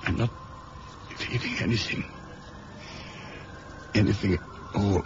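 Man acting dying agent, very slow, croaky, weak breath, old radio drama, background hiss. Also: fairly low pitch, close to an everyday voice. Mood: weak, fading. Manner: halting, laboured speech. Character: dying, weary male agent, weak and fading. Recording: dull, noisy old radio recording.

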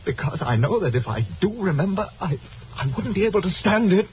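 An actor playing a small, haunted stage mentalist in a 1950s radio drama, halting, anguished and fearful. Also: male voice, high-pitched, sing-song. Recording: muffled, slightly noisy old radio recording.

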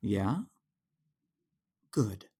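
An actor playing a gentle, patient fatherly man in an audio drama, soothing as he talks. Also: lowish voice, lively intonation, extremely slow, breathy edge, exaggerated voice. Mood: gentle, consoling.

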